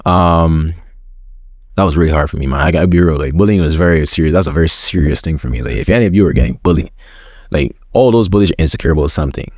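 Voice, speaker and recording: A man's voice: rumbling low, quick pace, darkish tone, no room sound, clean studio sound. A young man as an interview guest, chatting openly in slangy talk with many repeated words. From school system with forms. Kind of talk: casual interview chat.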